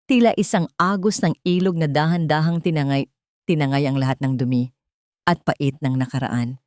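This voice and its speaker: A woman narrating a scripted love story in a measured, sentimental, dramatic storytelling manner. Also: on the low side, a little mellow, dry recording, clean studio sound. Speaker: audiobook-style story narrator.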